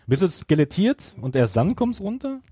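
A man's voice: sits high, big pitch swings, normal speed, warm tone, slightly rough, dry recording. A man co-hosting a podcast in casual conversation with the others. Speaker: podcast co-host. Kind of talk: casual chat.

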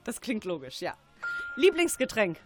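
A woman hosting a radio show, presenting and asking quick-fire interview questions. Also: mid-range voice, huge pitch swings. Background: music.

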